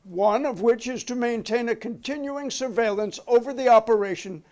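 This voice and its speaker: A man's voice: very high voice, normal speed, darkish tone. A man reading the part of a formal, insistent committee counsel questioning a witness in a dramatic reading of a 1950s hearing. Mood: official. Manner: courtly, official, insistent.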